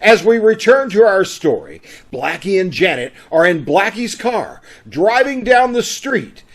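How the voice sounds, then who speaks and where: A man's voice: high-pitched, sing-song, unhurried. A man working as an announcer, reading scripted narration for an old-time radio drama. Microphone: video call.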